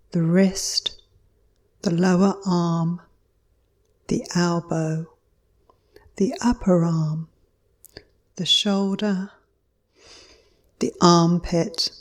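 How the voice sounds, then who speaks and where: Slow, low-voiced woman guiding meditation. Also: darkish tone. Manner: measured, instructional, with pauses between short phrases. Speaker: yoga nidra instructor. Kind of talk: guided meditation.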